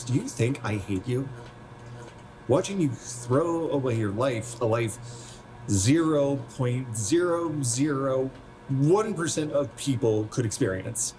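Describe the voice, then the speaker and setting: An American man playing a weary, bluntly honest friend in his twenties in a naturalistic radio play, with measured delivery. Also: medium pitch, animated, a little mellow, soft background music, lightly acted, poor audio. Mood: bitter, accusing. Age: young adult. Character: resigned 27-year-old male hometown friend, weary and bluntly honest.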